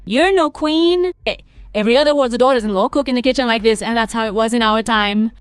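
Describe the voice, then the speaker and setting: A woman's voice: fairly high pitch, fairly quick, smooth, no echo, studio quality, natural voice. A woman playing a scornful, sarcastic older mother-in-law who talks dismissively in a cartoon family story. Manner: mocking, dismissive.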